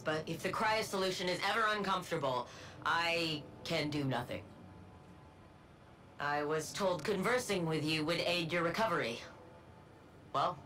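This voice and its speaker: An actress playing a cold, clinical female scientist villain in a video game, detached and deadpan. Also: fairly low pitch, slight background noise, close to an everyday voice.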